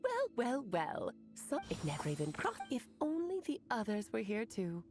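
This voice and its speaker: A woman's voice: on the high side, huge pitch swings, normal speed, darkish tone, soft background music. A woman voicing a breezy, playful, worldly lady in a video game, with a teasing, theatrical manner. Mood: playful, amused.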